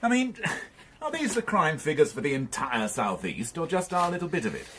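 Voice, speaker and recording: A man's voice: a little high, huge pitch swings, a little fast, fairly bright tone, slight background noise. A man acting in a radio drama as a dry, grumbling police inspector, wry and easily indignant. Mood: dry, weary. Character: dry, grumbling male police inspector, mildly old-fashioned. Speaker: actor.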